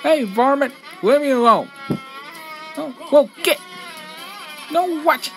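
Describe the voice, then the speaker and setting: Woman playing a high-voiced grumpy country bear. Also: animated, slow pace, soft background music, heavily acted, poor audio. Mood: panicked. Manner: complaining, shooing, exclaiming. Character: grumpy nature-hating male country bear, easily flustered.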